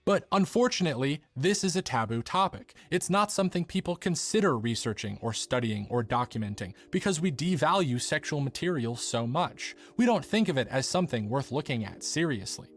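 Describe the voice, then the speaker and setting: A man's voice: fairly high pitch, expressive, a little fast, hardly any pauses. A man narrating a scripted documentary-style video essay in an earnest, articulate manner.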